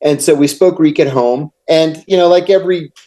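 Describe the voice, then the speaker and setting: A middle-aged American man, a guest on an interview podcast, telling long anecdotes in a measured way. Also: fairly high pitch, dark tone. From United States. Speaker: guest, former intelligence officer. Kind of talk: storytelling interview answers.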